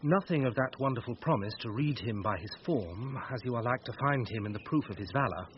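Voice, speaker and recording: An actor playing a sly, mischievous servant with mock-grave delivery in a Shakespearean radio comedy. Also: male voice, medium pitch, expressive, a little fast, lightly acted. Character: sly mischievous servant feeding fears with solemn tales. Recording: dull noisy radio recording.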